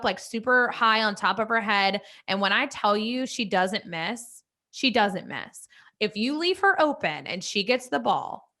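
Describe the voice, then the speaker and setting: Bright, chatty woman in her early thirties. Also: mid-range voice. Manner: chatty, enthusiastic. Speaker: co-host of a sports podcast. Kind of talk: casual sports chat.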